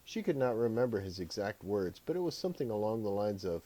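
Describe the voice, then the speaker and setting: A man narrating his own fiction as an audiobook, in a measured storytelling delivery. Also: fairly low pitch, very animated, mellow, low-quality recording. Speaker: author reading own book aloud.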